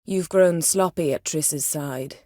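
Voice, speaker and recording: A woman playing a proud, sardonic, commanding sorceress in a video game, speaking in dry, measured, formal phrases. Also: low voice, dark tone, dry recording. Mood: cool, wry.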